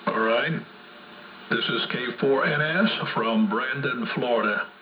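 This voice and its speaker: A man heard over a two-way AM radio, talking informally and haltingly as an amateur operator on a hand mic. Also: medium pitch, over a noisy crowd. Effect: two-way AM radio transmission. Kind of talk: casual radio contact chat. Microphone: hand microphone. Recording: muffled, echoey AM radio reception.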